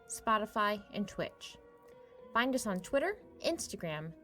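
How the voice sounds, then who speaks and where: A woman's voice: medium pitch, a bit bright. A woman reading scripted end credits for a fiction podcast. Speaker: credits reader.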